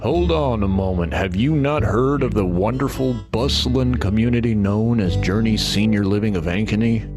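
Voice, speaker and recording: Guitar music, man, ad announcer, scripted sales pitch, lower voice. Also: moderate pace. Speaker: advertisement announcer. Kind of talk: scripted promotional read.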